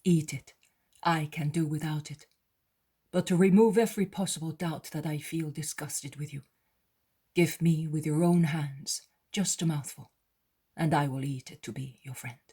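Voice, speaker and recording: A woman voicing a calm, kind male teacher who speaks gently and steadily. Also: on the high side, breathy edge. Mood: gentle. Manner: gentle, measured.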